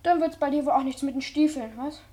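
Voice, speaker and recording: A woman's voice: sits high, fast, dark tone, lightly acted. A performer with a female-sounding voice, a woman playing an eager, curious young boy in a German radio play. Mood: eager, probing. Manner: inquisitive, pressing.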